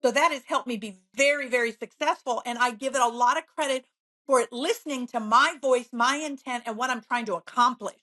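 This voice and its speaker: A woman real estate agent as an interview guest, bubbly and upbeat, telling stories with lively enthusiasm. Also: a little high. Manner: bubbly, upbeat, quick to laugh. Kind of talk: conversational interview.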